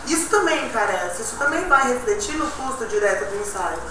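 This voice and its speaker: A woman's voice: a little high, lively intonation, fairly quick. A woman arguing and explaining at a meeting, tagging her points with "né". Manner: explanatory, argumentative, with many "né". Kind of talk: discussion at a meeting. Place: meeting room. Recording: echoey room from far off, noisy.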